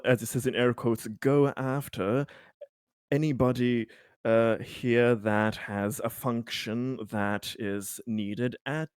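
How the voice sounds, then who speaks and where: A man's voice: medium pitch, a little mellow, no room sound, studio quality, close to an everyday voice. A man playing an awkward, over-polite bureaucratic boss in a tabletop game, hesitant and rambling in word salad.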